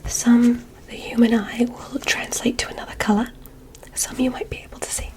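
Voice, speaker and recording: A woman voicing a curious, polite alien examiner in a whispered ASMR roleplay, speaking in a soft, deliberate, clinical manner. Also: on the high side, little pitch movement, lightly acted. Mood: curious, gentle.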